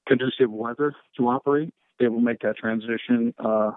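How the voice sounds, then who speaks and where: Even-toned man giving an official briefing. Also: mid-range voice, no room sound, narrow, tinny audio. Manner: measured, procedural, uses operational jargon. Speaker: fire and rescue official briefing reporters. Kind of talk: conference call briefing, question and answer.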